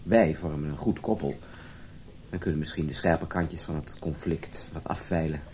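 An actor playing a dutiful, persuasive husband in conversational Dutch radio play style. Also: male voice, rumbling low, steady tone, normal speed, darkish tone, slight background noise. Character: dutiful, persuasive husband, loyal to his club friends.

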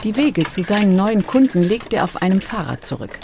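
A woman reading scripted documentary narration in a composed, clearly articulated manner. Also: medium pitch, very animated, brisk, noisy background. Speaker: audiobook narrator.

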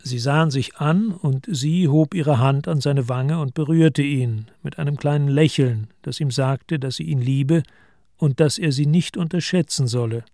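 A man narrating a German radio play as scripted literary storytelling, in a measured and deliberate manner. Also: fairly high pitch, warm tone, no echo, studio quality.

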